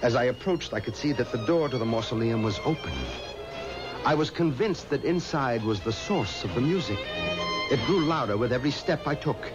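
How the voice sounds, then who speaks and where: Man acting a stubborn, dramatic, lively-pitched haunted gentleman. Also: close to an everyday voice. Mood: tense, haunted. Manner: dramatic, deliberate. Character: stubborn, pious man recounting a graveyard haunting. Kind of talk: 1970s radio drama acting. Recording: muffled old radio recording with music.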